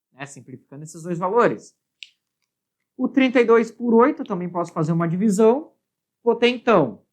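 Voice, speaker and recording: A woman with a Brazilian accent explaining a worked physics exercise step by step as a teacher, often adding short check questions. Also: medium pitch. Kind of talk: step-by-step lesson at a board.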